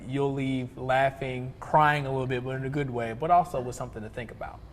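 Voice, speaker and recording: A man's voice: mid-range voice, fairly even intonation, a little fast. A man stage actor explaining things casually as an interview guest. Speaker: interview guest, stage play cast member. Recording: echoey hall with faint noise.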